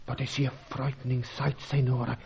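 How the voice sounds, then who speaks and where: A humble, superstitious local guide from an old radio adventure. He tells things formally and haltingly, with solemn courtesy. The sound is dull and narrow. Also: medium pitch, little pitch movement, vocal fry, a little mellow, husky. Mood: fearful, ashamed. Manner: formal, halting, florid storytelling. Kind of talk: old-fashioned radio serial acting. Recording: dull, band-limited old radio recording.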